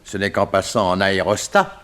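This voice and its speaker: An actor playing a nervous, officious male coroner in a radio serial, hesitant and excitable in his speech. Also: deep voice, normal speed. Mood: puzzled, theorizing. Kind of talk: French detective radio serial acting.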